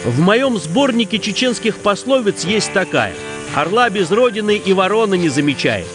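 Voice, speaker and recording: A man's voice: high voice, sing-song, normal speed, over music. A man presenting a travel documentary, speaking in a conversational, persuasive way as narrator and interviewer. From Russia. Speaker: TV presenter and reporter.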